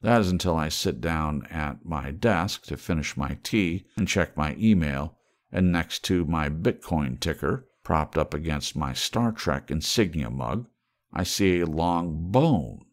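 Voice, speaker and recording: A man's voice: extremely low pitch, expressive, measured pace. A man reading a work of fiction aloud as an audiobook narrator.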